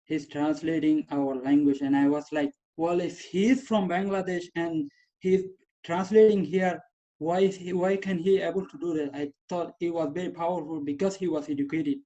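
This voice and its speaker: A young man, not a native English speaker, telling his own story with halting, repeated phrases. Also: a little high, steady tone, warm tone. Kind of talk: personal testimony. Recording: dull lo-fi sound.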